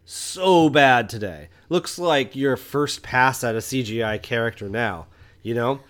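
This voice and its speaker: A man's voice: medium pitch, a little slow, fairly bright tone. A man co-hosting a casual film review podcast, speaking in an enthusiastic, opinionated way and often explaining things. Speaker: podcast co-host.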